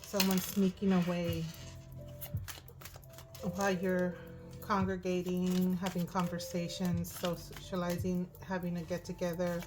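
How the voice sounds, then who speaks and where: A hoarse woman reading tarot cards aloud, thinking out loud and often asking "right?". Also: fairly low pitch, completely flat delivery, a little slow. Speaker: tarot reader. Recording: music in the background.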